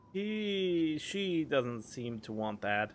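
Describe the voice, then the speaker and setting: A man giving casual, expressive gameplay commentary, talking in halting, emotional bursts. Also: a little high, slight background noise. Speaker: game streamer. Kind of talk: casual reacting commentary over a game.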